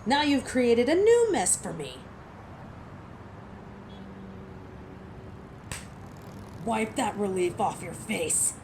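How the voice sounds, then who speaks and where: Girl playing ruthless alpha werewolf lady, slow n imperious, sardonic, noisy background, audio roleplay. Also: fairly high pitch, huge pitch swings, heavily acted. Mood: exasperated, angry. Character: commanding, exasperated, ruthless female alpha werewolf. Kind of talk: dramatic roleplay audio acting.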